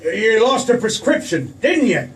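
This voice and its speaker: An actor playing a grief-stricken, drunken older druggist who bullies angrily, in 1940s radio drama style. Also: male voice, high-pitched, sing-song, unhurried, echoey room. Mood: angry, drunken. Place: theater stage. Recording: distant stage pickup with faint noise.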